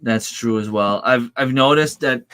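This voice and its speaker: A man's voice: mid-range voice, normal speed, background noise. A man in his thirties from New Brunswick, a native French speaker with a French Canadian accent, chatting casually with viewers on his livestream in an easygoing, hesitant way. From Northern New Brunswick, Canada. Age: 30s. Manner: easygoing, hesitant, many fillers and restarts. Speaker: farm channel host. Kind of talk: casual livestream chat, answering viewer comments.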